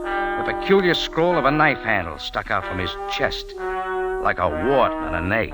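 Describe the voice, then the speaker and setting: An actor playing a wry, tough adventurer in an old radio drama, sardonic and punchy in dialogue and narration. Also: male voice, mid-range voice. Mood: urgent narration. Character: wry, tough hard-boiled adventurer hero. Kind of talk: 1940s-50s radio detective acting and narration.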